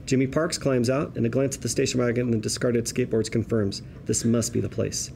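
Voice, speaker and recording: A man's voice: mid-range voice, fairly even intonation, brisk, vocal fry, a little mellow. A man reading stage directions at a script table read, with a vivid, wry storytelling manner. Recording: table read room with background noise.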